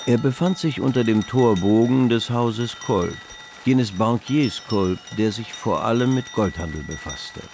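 A man narrating a literary radio drama in a measured, deliberate storytelling way. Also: fairly low pitch, noisy background. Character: male narrator of a 19th-century novel, measured storyteller. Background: music behind the voice.

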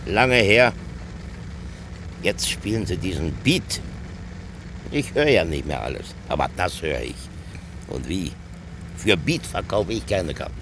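An actor playing a gruff, stubborn old village man, grumbling tersely in a radio play. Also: low-pitched, very animated, unhurried, pauses often, noisy background, full character voice. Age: older. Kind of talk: German radio play acting.

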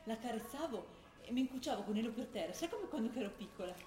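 A woman playing a troubled young militant, softly confiding. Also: fairly high pitch, lively intonation, quick pace, echoey room, lightly acted. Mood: dreamy. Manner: confiding, dialect-tinged Italian. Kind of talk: radio broadcast of a stage play.

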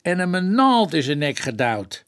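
High-voiced police detective. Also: male voice, sing-song, moderate pace, a bit bright, smooth. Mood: narrating grimly. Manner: colloquial, explanatory. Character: plain-spoken male police detective, respectful and explanatory. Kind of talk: radio drama acting.

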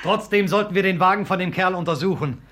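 An actor playing a concerned, affectionate young husband in a 1950s radio play, animated and exclamatory. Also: male voice, sits high, fast talker. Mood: relieved. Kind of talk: 1950s German-language radio play acting.

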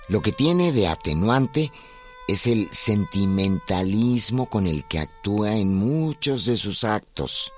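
An actor as the solemn narrator of a radio biography, measured and emphatic in a literary scripted style. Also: male voice, lowish voice.

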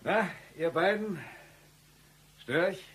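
A man's voice: high-pitched, slow pace, fairly bright tone, slight background noise. An actor playing a shady, eccentric former professor turned hitman, chatty and self-important, in a satirical radio play. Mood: cheeky, breezy.

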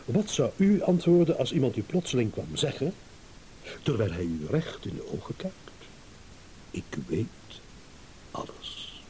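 An actor playing a smug, shrewd, eloquent gentleman in a radio drama, with mock courtesy. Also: medium pitch, very animated, measured pace, pauses often, poor audio. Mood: smooth, teasing. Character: smug, shrewd gentleman savouring a battle of wits. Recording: hissy old radio play recording.

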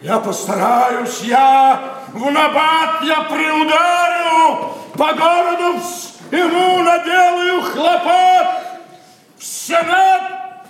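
A man's voice: very high-pitched, fairly even intonation, a bit bright, exaggerated voice. An actor playing a blustering, status-obsessed older father in a classical verse radio play, pompous and emphatic. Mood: threatening, furious. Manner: pompous, slow, emphatic declamation. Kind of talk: classical verse stage acting. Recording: very echoey hall, distant, faint noise.

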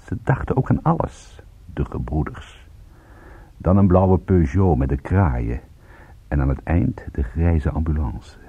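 An actor playing a world-weary, sardonic private detective with a husky voice in a radio play. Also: male voice, extremely low pitch, lively intonation, unhurried, narrow, tinny audio, full character voice. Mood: brooding narration. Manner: wry, sardonic, laconic. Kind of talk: radio drama acting with first-person narration.

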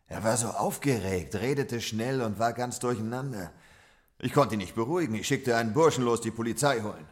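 An actor playing a shady Victorian opium-den owner in a German radio drama, deferential and self-justifying as a witness. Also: male voice, mid-range voice, fairly quick, natural voice.

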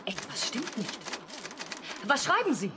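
Eine Schauspielerin spielt in einem deutschen Hörspiel ein kaltes, gebieterisches, künstlich erschaffenes weibliches Wesen, knapp und drohend. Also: female voice, on the high side, huge pitch swings, unhurried, background noise. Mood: sharp, suspicious. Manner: commanding, curt, menacing. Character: cold, imperious female artificial being made by a computer. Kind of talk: German radio drama acting.

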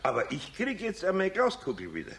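An older man with a Bavarian accent playing a kindly, patient, gently teasing master carpenter in a children's radio play. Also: high voice, wildly swooping pitch, brisk, vocal fry, slight background noise, heavily acted. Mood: friendly, lively.

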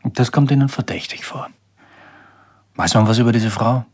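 An actor playing a wry, melancholy Viennese private detective in a radio crime drama, laconic and dry. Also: male voice, medium pitch, fairly even intonation, moderate pace, lightly acted. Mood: wary, laconic.

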